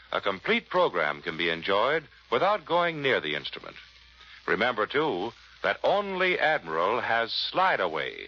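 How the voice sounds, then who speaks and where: An American man announcing a 1940s radio advertisement in a polished, salesmanlike way. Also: medium pitch. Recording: muffled vintage 1940s radio.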